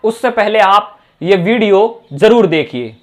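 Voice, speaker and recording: Man, high-pitched voice, news presenter, emphatic delivery, rhetorical opinion commentary. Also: animated, measured pace.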